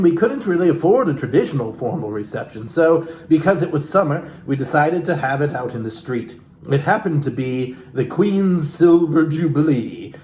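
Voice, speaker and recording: A man's voice: medium pitch, darkish tone. A man reading a book aloud in a mocking, irreverent way, with casual, sweary asides. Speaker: reader and host of a comic book reading. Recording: roomy echo with background noise.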